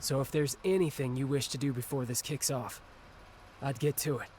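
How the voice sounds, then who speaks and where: A composed tactician, played by a man, with a mid-pitched voice. He lays things out precisely and seriously, over faint ambient noise. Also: steady tone, moderate pace, a little mellow, lightly acted. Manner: precise, matter-of-fact. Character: composed, methodical male military strategist ally. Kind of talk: video game dramatic dialogue.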